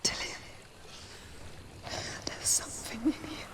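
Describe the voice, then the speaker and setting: An actress playing a tormented, fearful young warrior woman who believes herself cursed, in a breathy, fragile, halting voice for a game drama. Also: background noise, close to an everyday voice. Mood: hushed, haunted. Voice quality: breathy, often whispering. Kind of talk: dramatic video game acting.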